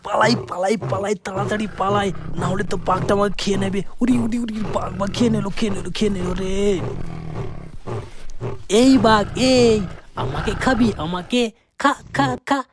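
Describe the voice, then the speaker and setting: A man voicing a cheeky, clever young village boy in a cartoon, excitable and repeating words urgently. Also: sits high, moderate pace, background noise. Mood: panicked, defiant. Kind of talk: cartoon acting. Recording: loud music under the voice.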